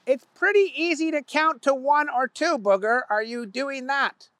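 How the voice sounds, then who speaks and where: A man voicing a stern, exasperated boss of a candy factory who scolds in a high, sharp voice. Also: measured pace, no room sound.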